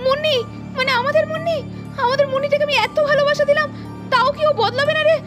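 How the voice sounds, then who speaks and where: Woman narrator, very high voice, quick delivery, cartoon story over music. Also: steady tone. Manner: scolding, shifts between narration and character voices. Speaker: narrator voicing several characters. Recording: music underneath.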